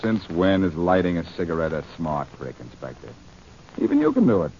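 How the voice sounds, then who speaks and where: An actor playing a wisecracking, cocky sleuth on the run in a 1940s radio detective drama, glib and sardonic. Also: male voice, sits low, wildly swooping pitch, a little mellow, husky, heavily acted. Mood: teasing. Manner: glib, sardonic, quick with quips. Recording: muffled, faintly noisy 1940s radio recording.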